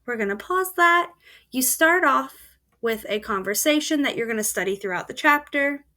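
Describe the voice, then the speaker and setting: A woman with a fairly high voice talks through a screen-recorded software tutorial. She explains each feature step by step in a steady, plain voice-over. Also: moderate pace. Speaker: student presenter.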